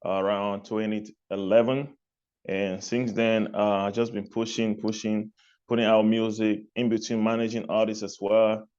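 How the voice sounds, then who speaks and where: A man from Cameroon, answering interview questions in a relaxed way, repeating phrases and laughing lightly between points. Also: lowish voice, normal speed, clean tone, muffled recording. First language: not English. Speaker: guest, music artist and label executive.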